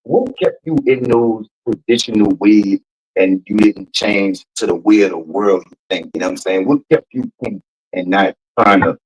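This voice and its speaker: A man's voice: lowish voice, moderate pace, a little mellow, slightly rough, low-quality recording. A man in his late thirties with a New Orleans accent, hosting a podcast and asking questions in a laid-back way. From New Orleans, USA. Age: 30s. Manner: laid-back, repeats "you know what I'm saying". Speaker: podcast host. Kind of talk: casual interview questions.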